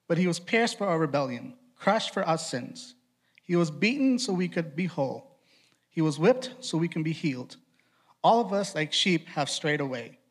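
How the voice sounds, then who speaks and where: Man, trinidadian accent, slightly higher voice, youth preacher, conversational, rhetorical questions. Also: fairly bright tone. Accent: Trinidadian, with Boston influence. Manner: conversational, asks rhetorical questions, quick asides. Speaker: student ministry director preaching. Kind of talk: casual youth sermon.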